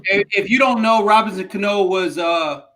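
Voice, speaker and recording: A man's voice: high voice, normal speed, a bit bright, poor audio. A man co-hosting a casual sports podcast, talking bluntly and emphatically with plenty of swearing. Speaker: podcast co-host.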